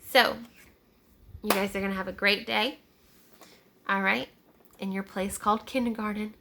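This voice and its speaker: A woman's voice: medium pitch, steady tone, moderate pace, vocal fry, bright, forceful tone, a little hiss or hum. A woman teacher reading a picture book aloud to young children, checking in with questions as she narrates.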